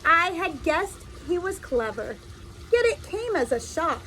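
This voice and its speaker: Female storyteller for children, lively swooping intonation, unhurried teacherly delivery, light noisy ambience. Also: extremely high pitch. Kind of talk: expressive read-aloud storytelling for children.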